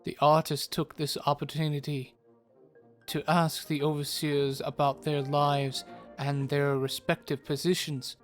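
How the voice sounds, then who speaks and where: Narrator for fantasy audio drama: male actor, literary, unhurried scripted delivery, light music underneath. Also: on the high side, natural voice. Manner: measured, literary. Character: measured storyteller narrating a fantasy tale.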